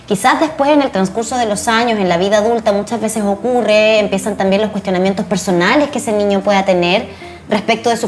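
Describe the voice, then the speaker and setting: A Chilean woman hosting a conversational studio interview, speaking earnestly and reflectively and posing rhetorical questions. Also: medium pitch. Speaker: TV interview host.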